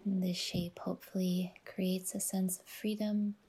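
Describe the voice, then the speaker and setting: A woman guiding a relaxation meditation in a soft, whispery, soothing voice, unhurried and leaving long pauses between phrases. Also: on the low side, level tone, breathy edge. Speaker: yoga nidra guide and podcast host.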